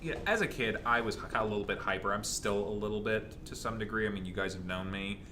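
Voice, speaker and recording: A young man chatting casually as co-host of a gaming channel, answering audience questions in a rambling, halting way. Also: lowish voice, bright, forceful tone, a little hiss or hum.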